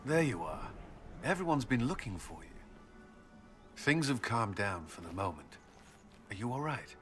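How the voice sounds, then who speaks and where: An actor playing an earnest, protective suitor in a romantic fantasy video game, speaking gently and hesitantly. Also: male voice, medium pitch, big pitch swings, unhurried. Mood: concerned. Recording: music clearly behind the voice.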